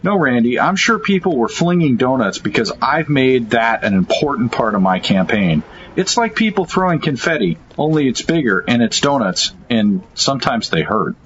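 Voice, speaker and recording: A man playing a hapless superhero politician in a comedic audio drama, earnest and deadpan in a bumbling way. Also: medium pitch, normal speed, lo-fi sound, crowd noise, natural voice. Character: snarky, hapless superhero running for president.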